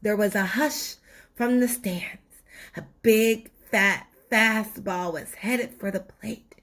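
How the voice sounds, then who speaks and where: A woman reading a storybook aloud in an expressive children's narration style, with deliberate dramatic pauses. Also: mid-range voice. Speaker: storybook reader.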